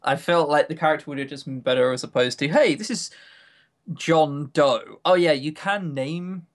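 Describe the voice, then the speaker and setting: A man's voice: fairly high pitch, normal speed. A man chatting casually as a podcast co-host, giving opinions with wry, coarse humour. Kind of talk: casual chat reviewing games.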